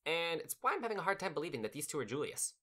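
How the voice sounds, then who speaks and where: A flippant, breezy companion whose pitch bounces all over, voiced by an actor with a bright, ringing tone. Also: big pitch swings, very fast. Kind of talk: fan audio drama acting.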